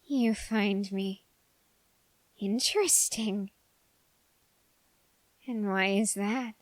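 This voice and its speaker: A woman voicing an ambitious, dark-hearted young man in an ASMR roleplay, speaking in formal, halting phrases with drawn-out pauses. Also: medium pitch, no room sound. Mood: hushed, longing. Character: ambitious, dark-hearted young male human bargaining with a demon.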